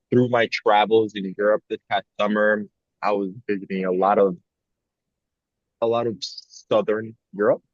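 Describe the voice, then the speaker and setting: A young man with a fairly low voice talks through his experiences in a loose, reflective podcast chat, often leaning on "like" as he thinks. The sound is dry and slightly dull, close and without room echo. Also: a little mellow. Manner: rambling, reflective, frequent "like" fillers. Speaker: podcast co-host.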